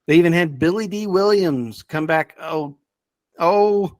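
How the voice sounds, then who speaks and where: Male podcast guest, slightly high voice, dry close sound, enthusiastic and chuckly in casual conversation. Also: unhurried, no room sound. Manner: enthusiastic, chuckles while talking. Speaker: podcast guest presenting a ranked list.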